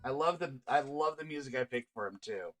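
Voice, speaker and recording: A man's voice: mid-range voice, lively intonation, fairly quick, a bit bright, rough recording. A man narrating a written fantasy tabletop story aloud as the game's storyteller. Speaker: game storyteller reading aloud. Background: background music.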